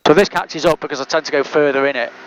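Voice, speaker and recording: A man's voice: on the high side, fairly even intonation, fast talker. A man flying a small plane, chatting casually as a pilot and making radio calls over a headset. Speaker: private pilot presenting own flight. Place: small aircraft cockpit in flight. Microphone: aviation headset. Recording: muffled noisy light-aircraft headset intercom. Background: engine and cabin noise.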